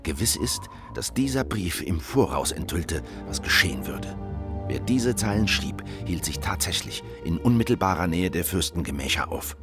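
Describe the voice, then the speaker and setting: A man's voice: sits low, lively intonation, moderate pace. An actor playing a haunted, grieving revolutionary who tells of his past in grave, literary radio drama narration. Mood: grave recollection. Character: haunted, grieving male revolutionary looking back on defeat. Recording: music behind the voice.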